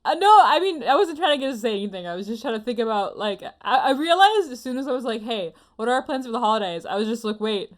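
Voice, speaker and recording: A woman's voice: on the high side, lively intonation, fast, hardly any pauses. A woman hosting a casual anime podcast, chatting in a playful, giggly manner. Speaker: podcast host.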